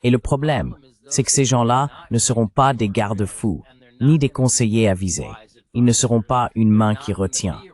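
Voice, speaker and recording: Ai dub guy, kinda low voice, answering interview questions as the expert. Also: moderate pace, darkish tone. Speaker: interviewee, psychologist, read by an AI dubbing voice. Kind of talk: translated interview voice-over read by synthetic voice.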